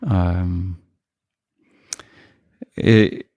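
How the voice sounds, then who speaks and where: Hesitant, low-voiced man in his fifties. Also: fairly even intonation, very slow, dark tone, smooth, no room sound. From Paris, France. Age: 50s. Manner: hesitant, many fillers and restarts. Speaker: guest. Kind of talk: reflective podcast interview.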